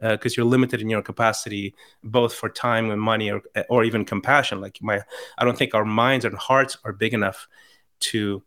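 A man's voice: fairly low pitch, steady tone, moderate pace, a bit bright. A middle-aged man from Chile with a Chilean accent, talking earnestly as a podcast guest and often restarting his sentences. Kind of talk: casual conversation.

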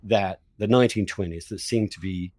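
A man's voice: on the low side, normal speed, darkish tone, no echo. A man hosting an interview in a conversational, discursive way, thinking aloud and repeating words for emphasis. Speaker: interviewer, podcast host.

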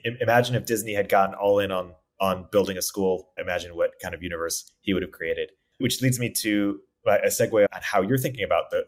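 A man with a fairly low voice hosts an interview in a conversational way. He talks very quickly, in halting bursts, often repeating a word as he works toward his point. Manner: halting, repeats words as thoughts form. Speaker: podcast host, interviewer.

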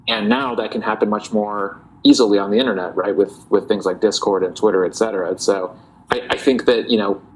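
A man, a guest in a casual interview, talking in a relaxed, reflective way with many fillers and restarts. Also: lowish voice, a little fast, gravelly, a little hiss or hum, rough recording. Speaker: guest, parody account writer.

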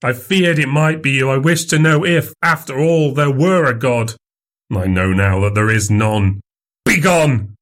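A man's voice: medium pitch, measured pace, a bit bright, voice pushed up. A man reading aloud and voicing a shabby, bitter drunkard who scornfully refuses help. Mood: bitter, defiant. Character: bitter shabby middle-aged male drunkard, defiant.

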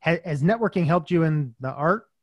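A man hosting a podcast interview, relaxed and encouraging, often giving short acknowledgments. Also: a little high, moderate pace, no room sound, lo-fi sound. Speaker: podcast host. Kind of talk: casual interview conversation.

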